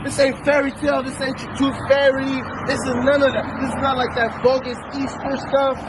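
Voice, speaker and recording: A man's voice: very high-pitched, little pitch movement, moderate pace, a crowd in the background. A man from El Salvador preaching outdoors on a city street, emphatic and full of rhetorical questions. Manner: emphatic, rhetorical questions, commanding scripture reads. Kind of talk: street sermon. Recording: street traffic noise.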